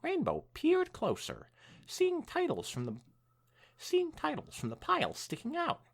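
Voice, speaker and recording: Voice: high-pitched, wildly swooping pitch, unhurried. A podcast co-host reading fan fiction aloud as narrator, with casual asides. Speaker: podcast co-host and story reader.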